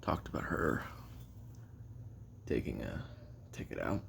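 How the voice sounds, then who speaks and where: Deep creaky male voice, actor as jaded lonely hitman, halting confessional monologue, self-deprecating, faint background noise. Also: very animated, a bit bright, full character voice. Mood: subdued, haunted. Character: weary, lonely, bitter male assassin recording tape memoirs. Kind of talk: confessional audio roleplay monologue.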